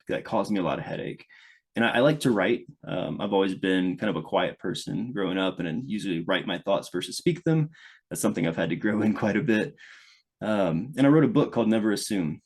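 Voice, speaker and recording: Low-voiced man from Louisiana. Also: animated, a little fast. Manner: thoughtful, restarts often, speeds up when engaged. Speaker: guest, product manager. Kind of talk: conversational podcast interview.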